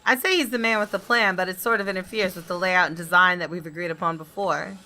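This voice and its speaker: Bright-voiced woman narrating a story. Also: lowish voice, steady tone, fast talker. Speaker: gaming video host reading aloud.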